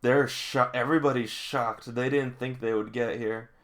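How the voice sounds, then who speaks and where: A man with a mid-pitched voice reads along and reacts as he goes. His delivery is casual and conversational, breaking into emotional fan exclamations between stretches of reading. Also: steady tone, normal speed. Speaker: reader reacting to a manga.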